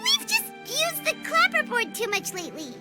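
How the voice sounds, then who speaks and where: Game fairy sidekick: childlike, squeaky, very high, excitable, clear background music. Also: female voice, moderate pace, heavily acted. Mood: playful grumbling. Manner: refers to self in third person, exclamatory. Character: small excitable childlike female fairy companion. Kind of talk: video game character acting.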